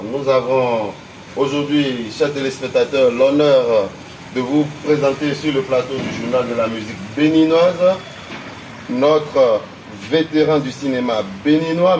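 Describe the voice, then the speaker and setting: A man's voice: mid-range voice, darkish tone, distant mic. A man hosts a television interview, greeting his guest and showering him with praise as he asks his questions. His voice rings through an echoey room and sits some way off the mic, with a faint hiss behind it. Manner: effusive, repeats praise words.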